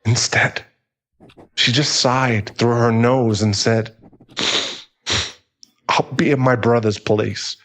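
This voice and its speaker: A man playing a bitter, guilt-ridden divorced husband in an audio roleplay, halting and emotional, with some swearing. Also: mid-range voice, steady tone, fairly bright tone. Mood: agitated, hurt.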